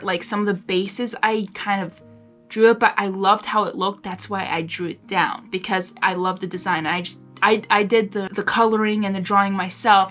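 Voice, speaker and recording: A woman's voice: mid-range voice, a bit bright. Female fan-channel host, mid-range voice, informal and gushing, over faint music. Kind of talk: casual reaction chat between readings.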